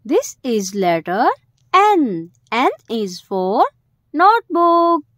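A woman's voice: on the high side, very animated, slow, a bit bright, no room sound, studio quality. A woman hosting a children's educational video, speaking in simple short phrases and stressing the key words. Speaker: host of a children's alphabet video.

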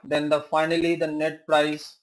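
A man teaching a software tutorial, working through examples step by step with rhetorical questions, fillers and self-corrections. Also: fairly high pitch, completely flat delivery, normal speed, poor audio. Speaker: software trainer. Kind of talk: screen-share tutorial walkthrough.

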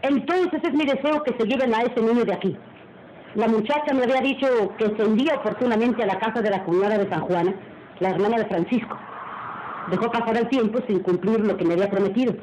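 A woman's voice: on the high side, fast, a little mellow, close to an everyday voice, poor audio. A woman playing a haughty, cold noblewoman in an old radio melodrama, imperious and formal. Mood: cold, insistent. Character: haughty, cold noblewoman, wife and mistress of mansion. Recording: old radio recording, faint noise.

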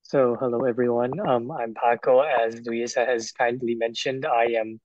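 A man's voice: medium pitch, flat delivery, normal speed, a little mellow, dry recording. A young man from Hong Kong introducing himself as a student on a lo-fi video call. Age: young adult. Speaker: student ambassador. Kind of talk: brief self-introduction.

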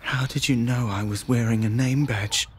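A man's voice: mid-range voice, steady tone, normal speed, slightly rough, lightly acted. An actor playing a nervous, eager young door-to-door salesman in an audio sci-fi comedy, chirpy and rambling.

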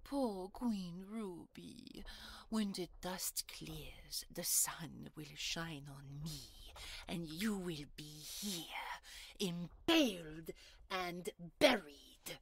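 A woman voicing a vengeful beaked ruler in an audio roleplay, theatrical and taunting, with a creaky voice. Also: on the low side, very slow, a little hiss or hum. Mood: gloating, menacing. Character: vengeful, gloating female beaked palace ruler. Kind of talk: dramatic audio roleplay acting.

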